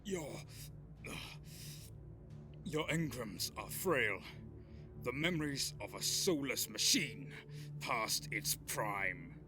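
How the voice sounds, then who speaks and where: A man playing a proud, grave elf warrior in an audio drama, formal in speech, with loud music behind. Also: medium pitch, animated, slow pace, pauses often. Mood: defiant. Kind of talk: scripted audio drama acting.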